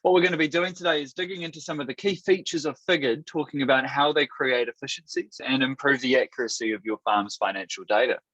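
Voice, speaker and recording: A man from New Zealand with a Kiwi accent, hosting a product webinar in an upbeat, steady presenter manner with a few restarts. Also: mid-range voice, quick pace, few pauses, no echo. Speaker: webinar host. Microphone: video call. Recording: dull dry webinar audio.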